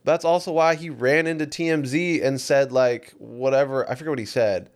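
A man's voice: mid-range voice, moderate pace. Easygoing slangy male podcast co-host. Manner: conversational, agreeable, slangy. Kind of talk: casual chat about music and celebrities.

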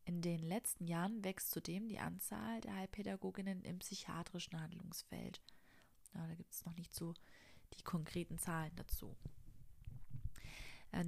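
A woman with a cold hosting an informative podcast, explaining things in an even monologue with the odd filler. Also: medium pitch, creaky. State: has a cold. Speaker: podcast host.

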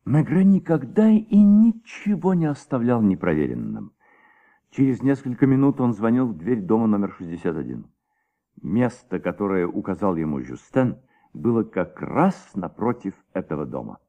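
A man performing as the dramatic, measured narrator of a detective story in a Soviet radio play. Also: on the low side, very animated. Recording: dull old radio recording, faint music.

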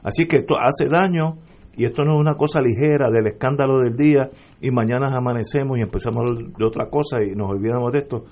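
A middle-aged Puerto Rican man on a political radio panel, speaking emphatically and building to forceful points. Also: medium pitch, fast. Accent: Puerto Rican Spanish.

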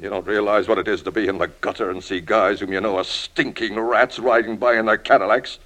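Man acting a deep-voiced, washed-up old boozy reporter. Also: fairly even intonation, a bit bright, lightly acted. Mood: bitter, impassioned. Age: older. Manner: halting, rambling, pleading. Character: washed-up alcoholic old crime reporter, self-pitying, wheedling, proud. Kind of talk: 1950s radio drama acting.

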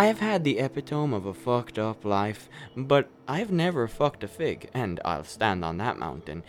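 A man's voice: on the low side, fairly bright tone, slight background noise. A man playing a nervous, apologetic killer in a darkly comic radio play, stammering and rambling with plenty of swearing. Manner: stammering, hesitant, rambling, foul-mouthed.

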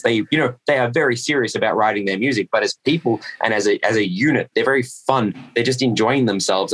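Young male musician, fairly low register, eager casual interview talk. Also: fairly bright tone. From Australia. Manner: enthusiastic, repeats words when starting sentences. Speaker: metal band musician and producer.

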